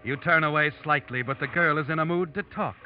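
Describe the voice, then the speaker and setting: A man's voice: on the high side, natural voice. Music plays behind a man acting an ominous narrator on a muffled vintage radio recording. He speaks directly to you, suspenseful and ironic. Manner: ironic, suspenseful, deliberate phrasing. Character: ominous, knowing male narrator addressing the guilty man. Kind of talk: dramatic second-person radio narration. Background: dramatic music.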